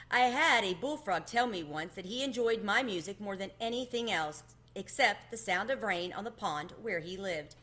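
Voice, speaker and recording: A woman voicing a gentle, homesick male cricket in a storybook, earnest and wistful in manner. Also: mid-range voice.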